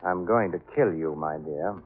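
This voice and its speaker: A man playing a courteous, softly menacing murderer in a 1940s radio drama, with mock regret. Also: low-pitched, normal speed, slightly rough. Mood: calmly menacing. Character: suave, coldly polite male murderer, mock-regretful. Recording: muffled, narrow-band old radio broadcast.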